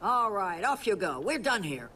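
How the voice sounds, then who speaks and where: A woman's voice: mid-range voice, very animated, moderate pace, sharp tone, far from the mic, a little hiss or hum. An actress playing a cranky, blunt old rural woman in a video game, talking in a crotchety, sardonic way. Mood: grudging, dry. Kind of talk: video game mission dialogue.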